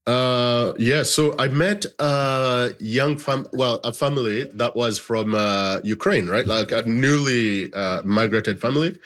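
A man's voice: medium pitch, a little slow, hardly any pauses, fairly bright tone. A man from Uganda, a documentary filmmaker, giving thoughtful interview answers and often checking in with "right?".

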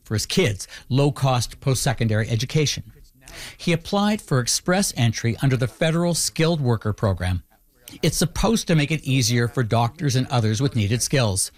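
A man narrating a documentary from a script in a measured, explanatory way. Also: medium pitch, animated. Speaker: narrator, host.

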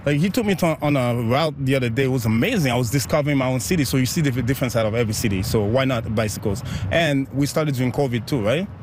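Upbeat man from Haiti, faint traffic behind. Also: medium pitch. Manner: upbeat, laughs often, quick bursts. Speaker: bike ride organizer. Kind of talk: casual interview.

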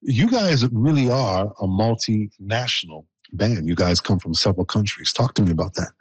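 A man's voice: fairly low pitch, animated, moderate pace, breathy edge, dry recording, rough recording. A man hosting a broadcast interview, joking and teasing his guests and laughing often. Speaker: host.

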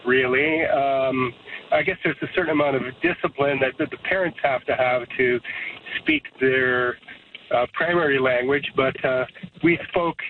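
A man's voice: mid-range voice, moderate pace, a little hiss or hum. Man on a tinny call line. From Canada. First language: Serbo-Croatian. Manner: reflective, thinks aloud. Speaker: interview guest. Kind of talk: conversational interview answers. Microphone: remote call audio.

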